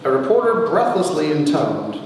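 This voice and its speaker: Echoey, animated man telling a wry story. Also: fairly high pitch. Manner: wry, with dramatic pauses. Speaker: author reading his own story. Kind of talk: dramatic reading of a humorous memoir to an audience. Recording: echoey hall from far off, faint noise.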